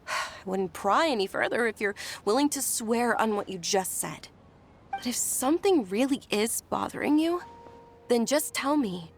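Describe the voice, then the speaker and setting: A woman's voice: fairly high pitch, lively intonation, measured pace, a little hiss or hum. A woman playing a sweet, insistent young noblewoman in an anime game, speaking politely and earnestly, with a pleading edge. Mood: concerned.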